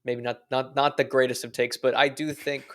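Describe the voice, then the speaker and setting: A man co-hosting a sports podcast, giving measured, analytical takes with the odd dry joke. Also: medium pitch, flat delivery, a bit bright. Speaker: podcast co-host. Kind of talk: casual sports podcast chat.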